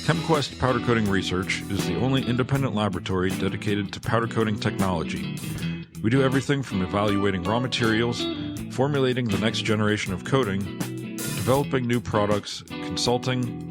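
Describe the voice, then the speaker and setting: Low-voiced man reading podcast announcements. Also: a little fast, over loud music. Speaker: podcast co-host. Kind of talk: scripted announcements and reading listener questions.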